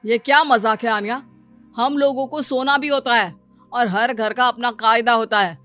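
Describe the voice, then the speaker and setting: A woman's voice: a little high, moderate pace, a bit bright, natural voice. A woman playing a dismissive, complaining middle-aged father in a cartoon story. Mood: irritated. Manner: sarcastic, scornful, complaining. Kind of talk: acted cartoon story dialogue. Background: music.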